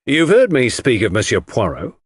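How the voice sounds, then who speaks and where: A male narrator puts on a jovial police inspector in a detective audiobook. He is colloquial, cocky and breezy, with a very lively melody and a dry, close sound. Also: medium pitch, moderate pace, breathy edge, dry recording. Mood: delighted.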